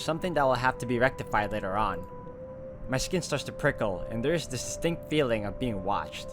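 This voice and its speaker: A man hosting a gameplay video, reading visual novel narration aloud as an expressive storyteller and adding asides. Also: mid-range voice. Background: music.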